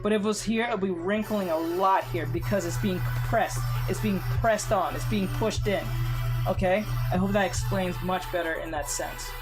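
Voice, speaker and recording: An art streamer running a live drawing tutorial, voice going hoarse, rambling with many fillers and restarts. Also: medium pitch, normal speed, over music. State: losing voice, hoarse.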